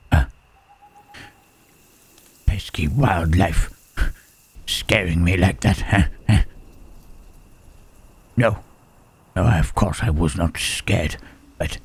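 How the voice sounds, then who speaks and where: Man acting wary gentle creature, very slow, low-pitched, hushed, halting, old-fashioned wording, intimate ASMR roleplay. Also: slightly rough, heavily acted. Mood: uneasy, guarded. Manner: halting, formal archaic phrasing, questioning "huh".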